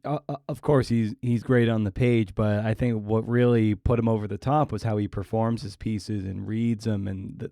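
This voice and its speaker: A man's voice: lowish voice, warm tone, dry recording, studio quality. A man hosting an interview podcast, talking casually and reflectively, often circling back and restarting mid-thought.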